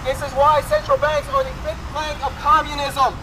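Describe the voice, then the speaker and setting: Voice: high voice, sing-song, moderate pace, a crowd in the background. An American activist giving an urgent, exhorting political street speech through a bullhorn, outdoors beside a road. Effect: bullhorn amplification. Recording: noisy outdoor roadside with traffic. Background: cars and street noise.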